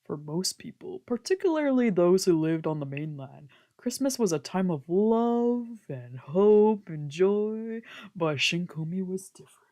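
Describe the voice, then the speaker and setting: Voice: mid-range voice, expressive, measured pace, mellow. A streamer reading a visual novel's narration aloud, occasionally stumbling over a word. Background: background music from a video game.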